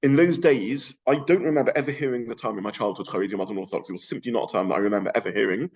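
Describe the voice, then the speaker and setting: A man's voice: on the low side, very fast, darkish tone, highs cut off. A middle-aged man with a London accent giving an informal lecture, thinking aloud with frequent restarts. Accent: London English. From England.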